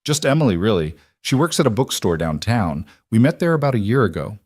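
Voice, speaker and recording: A guarded young woman, as a male narrator plays her, speaks in a close, dry sound. She is soft-spoken and hesitant, a quiet maid in a romance audiobook who keeps her past to herself. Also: on the low side, sing-song, a little fast, breathy edge, dry recording. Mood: guarded, wistful.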